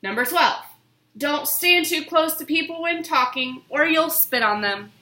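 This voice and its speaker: A woman giving an expressive, theatrical dramatic reading of a children's book. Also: sits high, unhurried. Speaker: storybook reader. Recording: roomy, echoing room sound.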